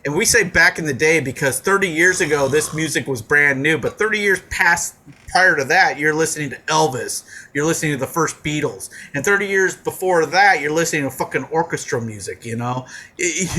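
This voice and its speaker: A man's voice: on the high side, bright, forceful tone. A man in his forties co-hosting a comedy podcast, rambling with lots of fillers and swearing. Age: 40s. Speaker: podcast co-host. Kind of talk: casual comedic chat.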